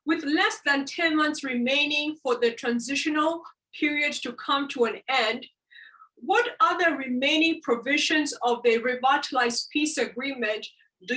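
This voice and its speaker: High, bright-voiced woman interviewer, distant mic. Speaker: interviewer, host. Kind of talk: formal scripted interview questioning.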